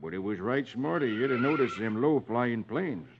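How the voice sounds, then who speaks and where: A man's voice: mid-range voice, expressive, moderate pace, natural voice. Man playing a folksy Western cowboy, old radio. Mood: easygoing. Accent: American Western. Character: folksy cowboy horse hand, plain and friendly. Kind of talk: 1950s radio comic-strip dramatization. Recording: muffled, noisy old radio recording.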